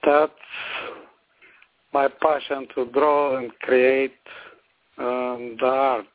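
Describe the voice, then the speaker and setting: A middle-aged man from Bulgaria with a Bulgarian accent, giving halting, slow answers with many fillers as an interview guest. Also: medium pitch, flat delivery. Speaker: guest artist. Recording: tinny phone line.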